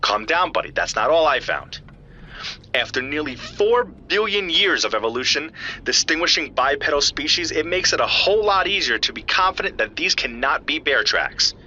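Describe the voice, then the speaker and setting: A man's voice: fairly high pitch, big pitch swings, moderate pace, pushed and bright, dull audio, a little hiss or hum, heavily acted. A man playing an earnest, geeky scientist in an audio drama, explaining evidence to a friend in precise technical detail. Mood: excited, explanatory. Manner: precise, technical, lecturing yet familiar. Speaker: scientist analysing footprint casts.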